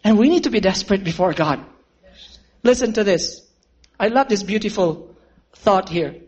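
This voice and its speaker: Earnest preacher telling a story. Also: male voice, high-pitched, expressive, normal speed, slightly rough. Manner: earnest, addresses listeners as friends, asks for amens. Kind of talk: storytelling sermon.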